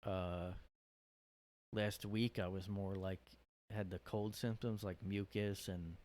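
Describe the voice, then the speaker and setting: Guy rambling on his podcast alone in his room, lots of um and like, kinda low voice, tired from being sick. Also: little pitch movement, takes it slowly, darkish tone. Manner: rambling, hesitant, many fillers. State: worn out after a cold. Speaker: podcast host. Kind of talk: casual solo monologue.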